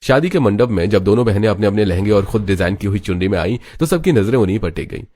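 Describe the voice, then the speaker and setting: A man's voice: on the low side, expressive, fast, dark tone, husky. A man narrating a scripted dramatic family story as a storyteller. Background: background music.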